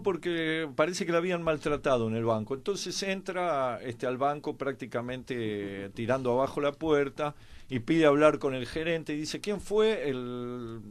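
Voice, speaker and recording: A man with an Argentine accent telling anecdotes conversationally as a guest commentator, halting often with pauses and filler words. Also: on the high side. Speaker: guest commentator on a history and politics programme.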